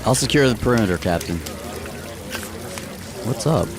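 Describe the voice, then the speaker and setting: A man playing a loyal, dry-witted sergeant major in a radio drama, laconic and deadpan. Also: mid-range voice, moderate pace, music in the background, natural voice. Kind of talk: post-apocalyptic radio drama acting.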